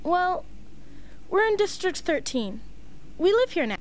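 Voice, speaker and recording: A sweet, naive teenage girl in a radio play, acted by a woman. The voice is very high and swoops with lively pitch, over a little background noise. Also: unhurried, heavily acted. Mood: gentle, careful. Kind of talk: amateur radio play acting.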